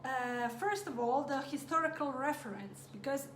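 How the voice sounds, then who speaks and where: Greek-accented woman host, high voice, roomy echo, unhurried live-stream chat. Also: animated, a bit bright, a little hiss or hum. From Greece. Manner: unhurried, chatty, reads viewer comments aloud. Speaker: cooking channel host.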